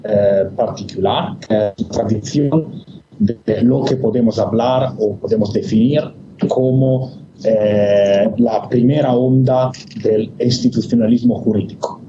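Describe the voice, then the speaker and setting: Slow, hesitant man on a noisy video call. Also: mid-range voice. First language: not Spanish. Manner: hesitant, many fillers and restarts. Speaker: guest speaker. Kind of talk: academic lecture over a video call. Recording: echoey, muffled, noisy video call line.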